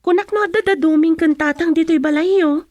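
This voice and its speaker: An actress playing a worried young daughter in a radio serial, with a Filipino accent and a plaintive manner. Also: female voice, very high-pitched, fairly even intonation, fairly quick, a little mellow, no room sound.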